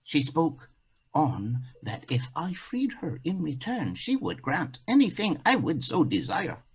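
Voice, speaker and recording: A man's voice: fairly high pitch, big pitch swings, a little slow, breathy edge. A man telling a folktale as a theatrical monologue, with dramatic, declamatory phrasing. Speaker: actor telling a folktale in a play.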